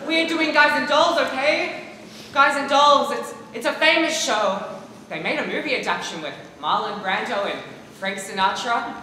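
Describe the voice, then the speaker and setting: A woman playing a harried high school musical director in a stage comedy, insistently keeping order. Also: moderate pace, bright, forceful tone. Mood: brisk. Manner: insistent, trying to keep order. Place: large theater stage, filmed from the audience. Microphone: far camera. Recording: echoey auditorium, faint noise.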